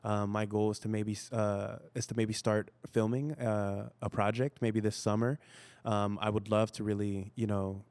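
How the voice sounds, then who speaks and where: A man's voice: on the low side, flat delivery, normal speed, a little mellow, clear, resonant tone. A man whose first language is Spanish, an actor chatting easily as a podcast guest with many "you know" fillers. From United States. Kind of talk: casual interview chat.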